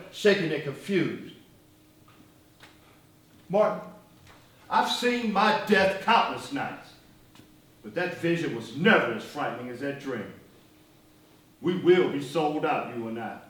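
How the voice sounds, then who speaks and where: The fiery, biting movement leader you'd hear from the back row of a cavernous theatre, his high, sweeping oratory ringing off the walls. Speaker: stage actor. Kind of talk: one-act stage play dialogue. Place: live theatre performance, recorded from far off. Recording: distant, very echoey stage hall.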